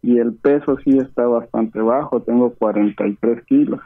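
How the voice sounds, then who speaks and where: A man's voice: mid-range voice, moderate pace, no room sound. A man in his fifties from Chiapas calling in, politely listing his treatment and symptoms in order. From Chiapas, Mexico. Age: 50s. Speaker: caller. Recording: dry phone line.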